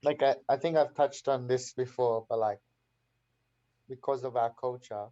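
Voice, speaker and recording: A laid-back Australian man talks at a mid pitch on a panel, with his speech full of fillers. The stream audio is dull and lo-fi. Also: fairly even intonation, normal speed, dark tone. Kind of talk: casual panel chat.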